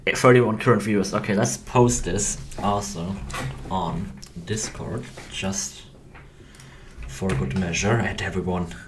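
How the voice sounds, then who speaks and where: A man's voice: deep voice, steady tone, unhurried, noisy background. A young man of about twenty with an Austrian German accent, chatting casually and hesitantly on a livestream, rambling and interrupting himself. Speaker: game developer hosting a livestream. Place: indoors at a computer desk.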